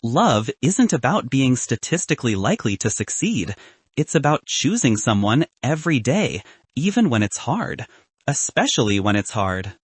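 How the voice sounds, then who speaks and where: Man voicing a cynical best man turned nervous romantic in an audiobook; husky, animated, self-deprecating and sincere. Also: mid-range voice, normal speed, no room sound. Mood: heartfelt toast. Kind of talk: audiobook character dialogue.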